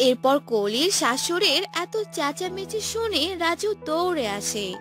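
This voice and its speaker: High, animated woman storyteller. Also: measured pace, soft background music. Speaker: narrator. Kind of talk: scripted cartoon story narration.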